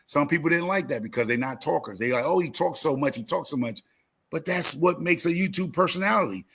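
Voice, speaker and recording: Bright, husky-voiced man in his fifties. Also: on the high side, lively intonation, brisk. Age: 50s. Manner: talkative, emphatic, repeats phrases for emphasis. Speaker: YouTube gardening creator and live host. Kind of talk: casual livestream chat, motivational storytelling.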